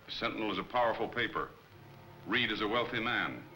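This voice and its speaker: A man's voice: on the low side, animated, a little fast, sharp tone, faint music behind, low-quality recording. An actor playing a formal, businesslike but doubting racketeer front man in 1940s film serial style. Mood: doubting, displeased.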